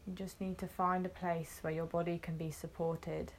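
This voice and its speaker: A woman guiding a meditation, gently instructing in short phrases with long, unhurried pauses. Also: fairly low pitch, level tone, a little hiss or hum. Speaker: meditation guide. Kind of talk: guided meditation, soothing instruction.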